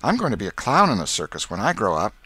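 A man's voice: fairly low pitch, wildly swooping pitch, fast, pushed and bright, husky, dry recording, full character voice. A man voicing an eager, boastful young schoolboy in a storybook, with a folksy, insistent way of talking.

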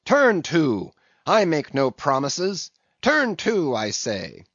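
A man's voice: on the low side, big pitch swings, takes it slowly, vocal fry, husky, dry recording, full character voice. A man voicing a furious, bullying middle-aged ship captain who barks orders. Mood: bellowing orders. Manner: barking orders, shouting. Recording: dull, dry lo-fi recording.

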